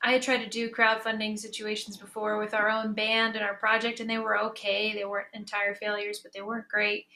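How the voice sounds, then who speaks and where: A woman's voice: medium pitch, monotone, brisk, few pauses, bright, forceful tone. A woman in a conversational panel discussion, speaking casually and reflectively as a moderator and fellow creator. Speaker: panel moderator, audio drama creator and musician.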